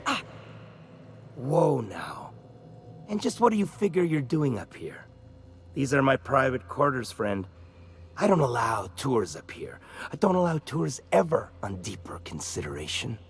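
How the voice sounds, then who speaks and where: An actor playing a pompous, menacing meat tycoon and killer in a video game, florid and mock-polite, dropping into breathy whispers. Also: male voice, medium pitch, sing-song, a little slow, a little hiss or hum. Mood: startled, irritated. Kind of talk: video game character acting.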